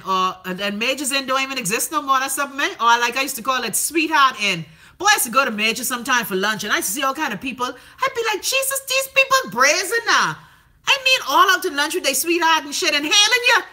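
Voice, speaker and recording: A Bahamian woman hosting a livestream, chatting casually and sassily while reading out viewer comments, with plenty of profanity. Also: high voice. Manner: sassy, profane, animated storytelling. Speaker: livestream host.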